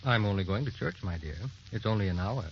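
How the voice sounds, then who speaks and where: Deep-voiced, teasing husband. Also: male voice, expressive, fast, a little hiss or hum. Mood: fond. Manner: wry, mock-formal, theatrical. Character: affectionate, teasing husband, confident and reassuring. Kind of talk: old-time radio drama acting. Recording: dull old radio recording, music behind.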